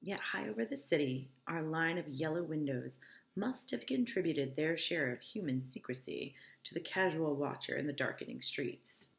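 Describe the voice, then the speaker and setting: A woman's voice: sits low, lively intonation, normal speed, creaky. A woman teacher reading a novel aloud and explaining it informally, often checking that listeners follow. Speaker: English teacher.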